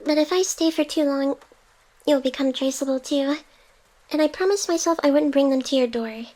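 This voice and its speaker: A woman voicing a devoted, teasing female AI hacker in an intimate ASMR roleplay, soft and tender. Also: high voice, normal speed, close to an everyday voice. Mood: tender, wistful.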